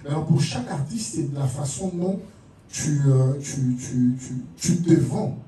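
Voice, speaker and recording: A man's voice: on the high side, animated, measured pace, warm tone. A man with a Congolese French accent speaking informally as an artist in a panel discussion, often repeating words. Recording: very echoey room, distant mic, faint noise.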